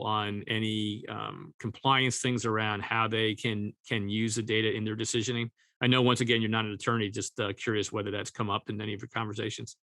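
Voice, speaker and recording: Podcast host guy, bright crisp-edged voice, very dry sound, chatting through interview questions. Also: mid-range voice, no echo. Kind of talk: conversational business interview questions.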